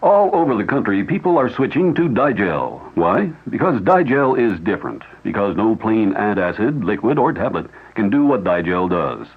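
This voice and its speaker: A male announcer reads a radio commercial earnestly and persuasively, his lowish, husky voice rising and falling expressively. It comes through a tinny, narrow old broadcast recording. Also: very animated, normal speed, hardly any pauses. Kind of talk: 1970s radio advertisement read.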